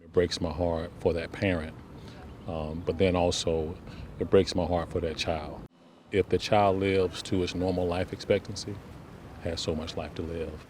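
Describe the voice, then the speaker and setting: A man gives a casual street interview to a news crew. His voice is low and very even in pitch, with faint outdoor noise around it. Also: normal speed.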